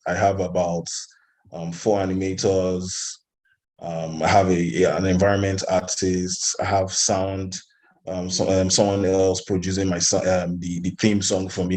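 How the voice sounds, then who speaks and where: A man's voice: low voice, a little mellow. A man from Nigeria giving conversational interview answers as an animation studio founder, in hesitant phrasing with repeated restarts.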